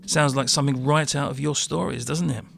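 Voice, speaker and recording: A man acts out a disillusioned railway worker nearing fifty in a horror comic drama. His gravelly, mid-pitched voice is colloquial and world-weary, with clear noise behind it. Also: steady tone, a little fast, a bit bright. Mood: hushed, intrigued. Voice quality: creaky, gravelly. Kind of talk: dramatic dialogue in an audio-led motion comic.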